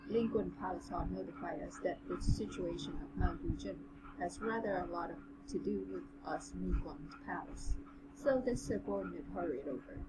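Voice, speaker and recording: A woman voicing a calm, courteous young male general in formal, measured speech for an amateur audio drama. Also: on the low side, close to an everyday voice. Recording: clearly noisy amateur home recording.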